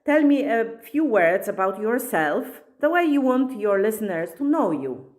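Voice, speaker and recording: Slow, measured woman host. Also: mid-range voice, very animated, darkish tone. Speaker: podcast host and coach. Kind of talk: scripted intro, then interview.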